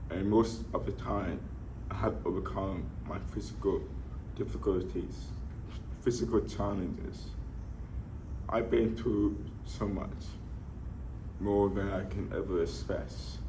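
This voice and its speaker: A man in his twenties with a speech impediment, giving an earnest, halting personal testimony in short phrases. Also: deep voice, a little mellow, a little hiss or hum, low-quality recording. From Nigeria. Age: 20s. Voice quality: speech impediment, imperfect articulation. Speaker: fitness enthusiast sharing life story.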